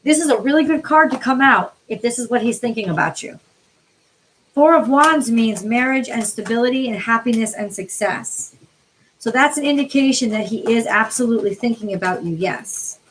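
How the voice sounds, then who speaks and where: An American woman from the New York City area reads tarot on a live stream, chatting informally with viewers by name, her voice tiring and getting a little hoarse. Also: on the high side, moderate pace, fairly bright tone, slight background noise, low-quality recording. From New York City area, USA. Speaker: tarot card reader, livestream host.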